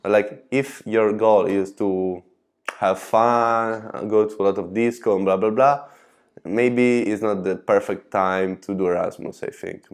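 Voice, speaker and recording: A man's voice: fairly low pitch, fairly even intonation, unhurried. A young male exchange student answering a documentary interview casually, trailing off with throwaway phrases.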